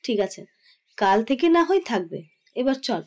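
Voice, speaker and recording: A middle-aged mother played by a woman in an audio romance drama. Her voice sits a little high as she chides firmly and teases. Underneath the scolding she is indulgent. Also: big pitch swings, measured pace, a little mellow. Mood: teasing. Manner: chiding, teasing, firm. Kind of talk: Bengali audio drama acting.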